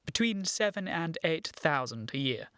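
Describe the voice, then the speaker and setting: A well-to-do young English gentleman in a period radio comedy. He speaks in an upper-class accent with a hesitant, apologetic politeness. The recording is dry and close. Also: fairly high pitch, very animated, normal speed, smooth, dry recording. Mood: deferential. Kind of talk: comic period radio play acting.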